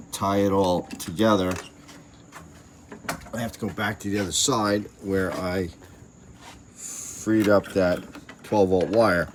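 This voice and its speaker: Male how-to presenter, low register, casual and plain-spoken, faint outdoor background noise with chirping insects. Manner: plain-spoken, chatty, explains step by step. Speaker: installer presenting a how-to video. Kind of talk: casual hands-on tutorial narration. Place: outdoors at a gate.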